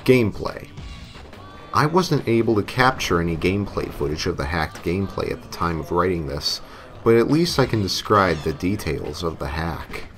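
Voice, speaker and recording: Low-voiced man narrating over music. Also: animated, moderate pace, a bit bright. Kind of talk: scripted story narration. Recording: music playing behind the voice. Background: novelty songs and game music.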